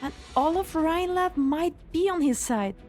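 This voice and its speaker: High-voiced, earnest young woman acted out. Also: animated, unhurried, darkish tone, faint music behind. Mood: earnest, worried. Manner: earnest, urgent. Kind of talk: fan dub game story acting.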